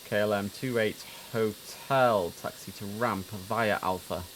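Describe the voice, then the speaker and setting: A man's voice: on the low side, fairly even intonation, unhurried, fairly bright tone, low-quality recording. A man livestreaming as an air traffic controller, giving clipped formal radio instructions and muttering casual commentary to himself in between.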